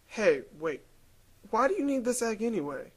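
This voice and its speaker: A woman's voice: a little high, sing-song, moderate pace. A woman playing a brash, sarcastic, foul-mouthed young hero in a video game cutscene. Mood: incredulous. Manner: sarcastic, irreverent.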